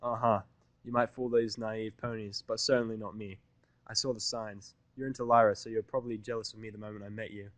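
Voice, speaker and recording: A man's voice: on the low side, flat delivery, a little fast, no room sound, lightly acted. A man voicing an irritable, cynical human male with a sardonic, profane manner in a story reading. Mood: smug.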